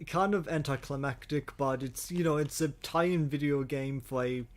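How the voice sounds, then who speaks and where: Male podcast co-host, mid-pitched voice, conversational, halting and repetitive in delivery. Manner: hesitant, halting delivery with repeated words. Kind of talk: casual chat.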